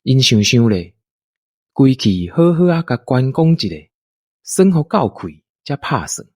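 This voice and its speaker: Man, Taiwanese accent, audio drama narrator, husky edge, dry close sound, expressive delivery. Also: medium pitch, no echo. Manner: unhurried, expressive storytelling delivery. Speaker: storyteller, narrator.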